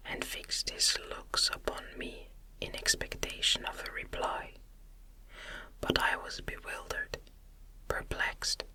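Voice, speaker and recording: Female ASMR audiobook reader, fully whispered, unhurried and deliberate, with a very bright, airy edge. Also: extremely high pitch. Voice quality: soft, breathy, unvoiced whisper. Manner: slow, gentle, deliberate reading. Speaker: audiobook narrator.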